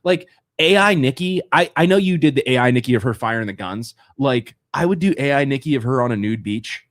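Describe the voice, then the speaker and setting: A man's voice: medium pitch, lively intonation, a little fast. A man from co-hosting a podcast while tired from a cold, chatting and retelling stories with laughing asides. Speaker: podcast co-host. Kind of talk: casual chat.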